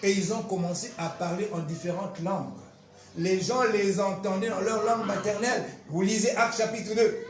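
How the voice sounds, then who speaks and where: A man, a pastor giving an animated, emphatic testimony and teaching with repetitive storytelling. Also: sits high, normal speed. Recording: roomy echo, faint music behind.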